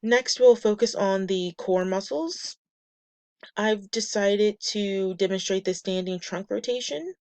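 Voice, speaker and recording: A woman presenting a class lesson from slides, a bit nasal and raspy from a cold, reading steps and lists in a measured way. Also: medium pitch, a little mellow, no room sound. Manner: measured, reads steps and muscle lists methodically. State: getting over a cold, slightly nasal and raspy. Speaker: student presenter.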